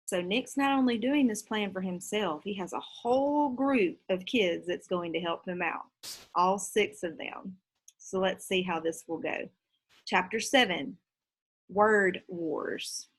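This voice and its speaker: Lively woman reading a children's book aloud. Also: mid-range voice.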